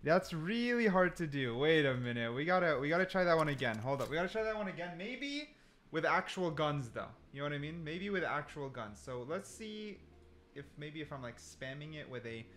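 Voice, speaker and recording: A man hosting gaming videos with casual, animated gameplay commentary, often repeating phrases for emphasis. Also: a little high, fairly quick.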